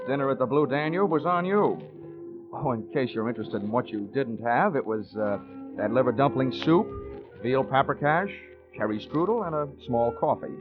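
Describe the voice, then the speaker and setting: A man's voice: mid-range voice, close to an everyday voice. A man playing a sardonic, breezy insurance investigator who narrates his own case in a 1940s radio drama. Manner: wry, breezy, wordy. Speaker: lead actor and narrator. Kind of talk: 1940s radio detective acting. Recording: muffled 1940s radio broadcast recording, music.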